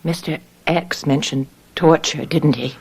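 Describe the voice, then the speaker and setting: An American actress playing an anxious, devoted wife in a radio drama. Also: female voice, steady tone, a little slow, a little mellow, slightly rough, exaggerated voice. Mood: fearful, pleading. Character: anxious, devoted wife of a secretive government man. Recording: noisy vintage radio drama, car rumble.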